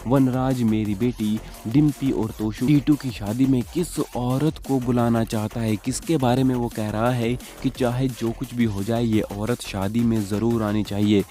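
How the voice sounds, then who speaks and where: A man's voice: mid-range voice, steady tone, a little slow, few pauses, mellow. A man delivering a scripted voice-over in the manner of TV gossip news, repeating points to build suspense. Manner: repetitive, suspense-building recap. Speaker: channel narrator of TV serial gossip. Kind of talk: scripted voice-over narration of soap spoilers. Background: background music.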